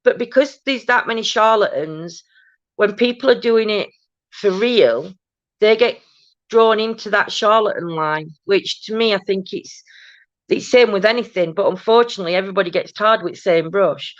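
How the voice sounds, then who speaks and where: A woman hosting a paranormal chat stream, talking casually with a loud, booming voice and many restarts. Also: dry recording. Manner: rambling, many restarts and "do you know what I mean". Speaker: host of a paranormal chat show. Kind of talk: casual live-stream chat reading viewer comments. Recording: dull, dry low-fi stream audio.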